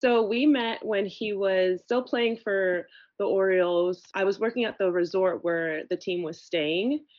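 A woman's voice: medium pitch, a little slow, dry recording. Chatty woman guest, dry dull sound. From Venezuela. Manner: chatty, lots of "like" and "you know". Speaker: podcast guest. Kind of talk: casual conversation.

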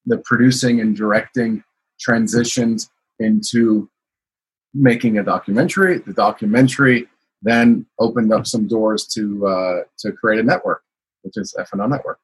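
A man's voice: fairly low pitch, steady tone, normal speed, husky, rough recording. A man from the United States, a guest telling his life story in a casual interview, rambling with many fillers. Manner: rambling, frequent "you know" fillers.

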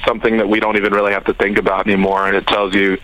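A man in his thirties who grew up in the Chicago suburbs, speaking as an interview guest in a measured, hesitant way. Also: on the low side, level tone, a bit bright, poor audio. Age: 30s. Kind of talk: conversational interview.

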